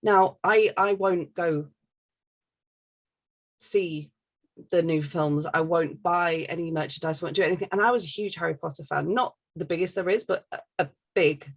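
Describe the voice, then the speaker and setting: A woman's voice: on the low side, moderate pace. A woman talking casually and candidly, giving her opinions emphatically and swearing freely.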